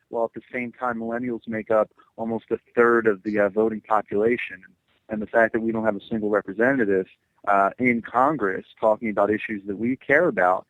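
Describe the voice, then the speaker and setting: An American man in his twenties giving earnest, somewhat halting interview answers as a political candidate. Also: on the low side, dry recording, phone-quality sound. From New Jersey, USA. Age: 20s. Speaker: congressional candidate. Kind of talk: interview answers, campaign talking points.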